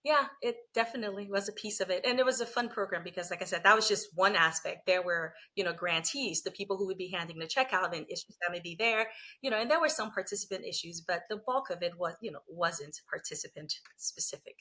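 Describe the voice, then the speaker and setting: Interview guest: woman from Liberia, lawyer turned coach, bright tone, thoughtful and explanatory. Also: fairly low pitch, fast talker. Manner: reflective, explanatory, frequent "you know" and "right?". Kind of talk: conversational interview.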